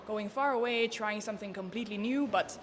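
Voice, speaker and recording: A woman's voice: mid-range voice, brisk, big-room sound, a little hiss or hum. A woman in her twenties with a Norwegian accent, answering interview questions informally as a student. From Norway. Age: 20s.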